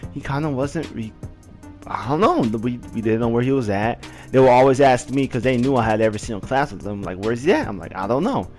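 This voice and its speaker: Animated young man telling a story. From Southern California. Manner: animated, leaps up high when reliving moments, heavy "like" fillers. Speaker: storyteller vlogger. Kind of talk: casual storytime narration.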